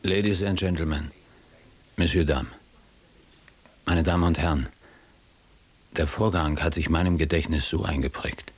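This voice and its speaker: An actor playing a worldly, ironic young East German writer looking back in a radio drama. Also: male voice, low-pitched, a little slow, pauses often, breathy edge, heavily acted. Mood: storytelling, wry. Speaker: actor playing narrator and lead. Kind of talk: radio drama narration and dialogue.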